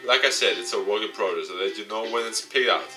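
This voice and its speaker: A man's voice: on the low side, fast talker, bright, forceful tone. A man playing a dry, matter-of-fact esports team recruiter in a visual novel. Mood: wry. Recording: game music behind the voice.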